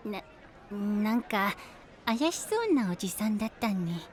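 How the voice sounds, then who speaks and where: Boyish young knight, high voice, very animated, excitable, whiny edge, anime voice drama. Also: male voice, measured pace, slight background noise. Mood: cheerful, curious.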